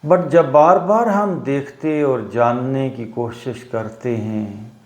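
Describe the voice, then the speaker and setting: A man teaching guided meditation, giving instructions in short phrases with long pauses between them. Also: medium pitch. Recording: roomy echo on the voice.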